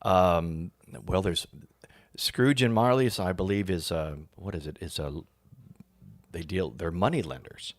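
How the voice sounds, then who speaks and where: Halting, low-voiced man explaining things. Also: no room sound. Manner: halting, searching for words. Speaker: actor being interviewed. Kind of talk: casual, explanatory interview talk.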